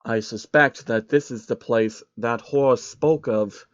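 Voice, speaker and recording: A man's voice: mid-range voice, slow, no echo, dull audio, close to an everyday voice. A man voicing a grumpy, arrogant old billionaire villain with a snarling, pompous manner in a storybook reading. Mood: smug. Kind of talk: storybook character voice in amateur fan fiction reading.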